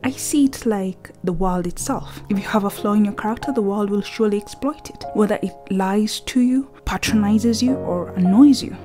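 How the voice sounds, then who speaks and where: Soft-spoken woman narrating over faint music. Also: mid-range voice, moderate pace, hardly any pauses. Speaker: video essayist. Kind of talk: scripted narration.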